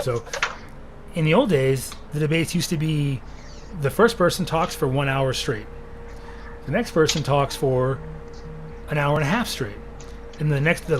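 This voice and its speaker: A man hosts a casual live show, his voice raspy and worn from recent throat surgery. He thinks out loud, rambling with plenty of fillers and restarts. A faint hiss sits under the recording. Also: a little high. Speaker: podcast host. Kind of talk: casual livestream chat.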